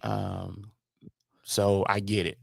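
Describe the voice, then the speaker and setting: A man's voice: lowish voice, little pitch movement, drawn-out words, gravelly, no echo, clean studio sound. An American man co-hosting a sports podcast, talking casually and emphatically about football with strong opinions, repeating phrases to drive them home.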